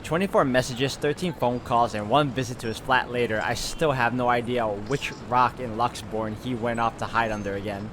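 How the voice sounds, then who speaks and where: A man's voice: mid-range voice, moderate pace, few pauses, background noise. A man hosting a game walkthrough, reading visual novel narration aloud and commenting casually. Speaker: video game walkthrough host. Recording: music behind the voice. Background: game music.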